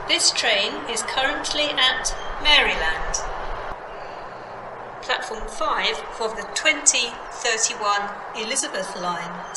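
Slow British woman announcer, echoey station. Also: a little high. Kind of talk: scripted public-address train announcements. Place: played over speakers in a train station. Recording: echoey station PA, loud background noise. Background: loud station ambience, trains.

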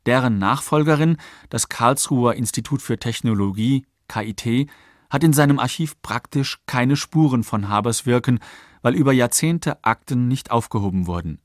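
A man's mid-pitched voice, close and dry in the studio. He narrates a radio feature from a script, factual and measured. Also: expressive, no echo. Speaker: narrator, documentary author. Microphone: close studio microphone.

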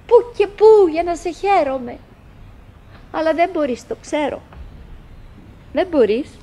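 An actress playing a wistful, lonely, chattering wife in a theatrical radio play, musing in fragments and repeating herself. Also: female voice, sits high, animated, mellow. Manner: fragmented, repetitive musing with pauses and self-address.

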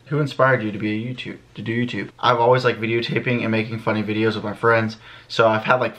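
A man's voice: fairly low pitch, a bit bright. An American man in his early twenties talking casually to camera in a laid-back, slangy way, answering questions for a vlog. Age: early 20s. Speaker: vlogger, channel host. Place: small bedroom indoors. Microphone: camera a few feet away.

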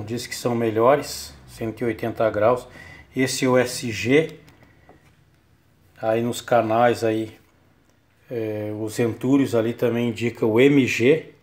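Slow-talking Brazilian man in a dim workshop. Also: medium pitch. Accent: Brazilian Portuguese. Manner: slow, rambling, with frequent restarts and filler tags. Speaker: channel host. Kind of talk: casual workshop walkthrough. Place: dim home workshop indoors. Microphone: handheld phone camera.

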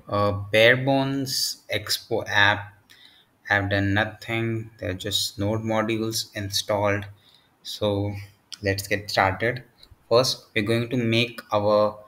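A man narrating a step-by-step software tutorial in short, halting phrases. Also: fairly low pitch. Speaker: tutorial presenter.

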